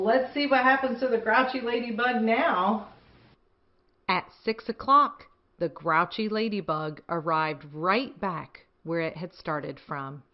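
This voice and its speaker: A woman's voice: fairly high pitch, echoey room, muffled recording. A woman hosting a children's storytime, reading aloud expressively and chatting enthusiastically with young listeners. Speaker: children's storytime host and reader.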